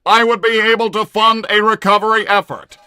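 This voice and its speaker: Literal-minded combat robot, high pitch, clean sharp tone, deadpan, formal, stilted, livestream roleplay. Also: male voice, brisk, clean tone, no room sound. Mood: deadpan, dismissive. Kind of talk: in-character livestream roleplay, reading viewer messages.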